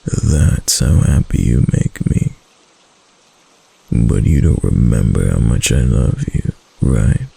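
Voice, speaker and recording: Casting a male actor for a clingy yandere fox-spirit husband, intimate ASMR roleplay; slow, heavily creaky murmur, tender and obsessive. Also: animated, dark tone, exaggerated voice. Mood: lovestruck. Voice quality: deep, breathy, gravelly. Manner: slow, hesitant, coaxing endearments.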